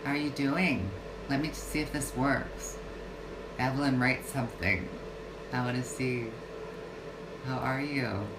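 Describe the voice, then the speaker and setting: Woman, 50s, slow unhurried livestream chat, hesitant with restarts; echoey room, loud AC hum. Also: very deep voice, steady tone. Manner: halting, many fillers and restarts. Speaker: vlogger. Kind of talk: casual livestream talk. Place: home yoga room. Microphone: phone or webcam livestream.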